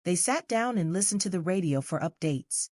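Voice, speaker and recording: A woman's voice: lowish voice, animated, moderate pace, darkish tone, no echo, studio quality. A woman reading scripted narration for a children's story as the narrator.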